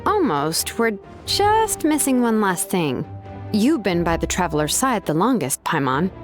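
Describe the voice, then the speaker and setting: A woman's voice: medium pitch, sing-song, measured pace. An actress playing a laid-back, matter-of-fact young craftswoman in a video game, speaking casually and reassuringly. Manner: casual, hesitant asides, reassuring. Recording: loud music behind the voice. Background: game music.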